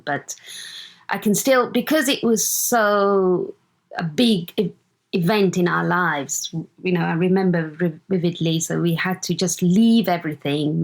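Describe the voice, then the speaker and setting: Chatty Ugandan-born woman in her fifties. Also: on the low side. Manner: chatty, halting, searches for words. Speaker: guest, host's mother. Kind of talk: casual conversation.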